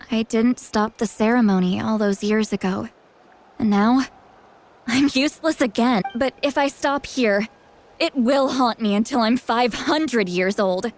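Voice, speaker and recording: A woman voicing a proud, sharp-tongued but protective youthful shaman in a video game, with a dramatic manner. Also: a little high. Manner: boastful yet self-doubting, dramatic.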